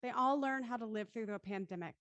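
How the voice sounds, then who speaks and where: A woman host with a slightly high voice, recorded dry and close. She speaks articulately, presenting to an audience in a livestreamed interview with a cast. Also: a little fast, fairly bright tone, no echo.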